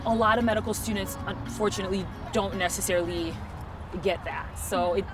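A young woman from Haiti, an incoming medical resident, speaking in a measured, thoughtful way. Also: medium pitch, fairly even intonation. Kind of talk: casual interview chat. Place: outdoor dog park. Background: music.

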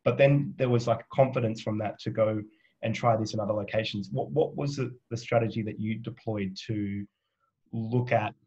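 A man's voice: fairly low pitch, fairly even intonation, moderate pace, a little mellow, husky. A man from Australia hosting a video panel, summing up and asking long questions with lots of ums. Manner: sums up, asks long questions with many fillers. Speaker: host and moderator. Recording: dull, lo-fi video call audio.

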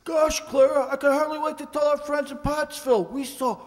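Starstruck bridegroom tourist acted by a man, earnest, unusually high voice, radio comedy in an echoing hall. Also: fairly even intonation, normal speed. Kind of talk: old-time radio comedy acting. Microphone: stand microphone. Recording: echoey hall stage, faint noise.

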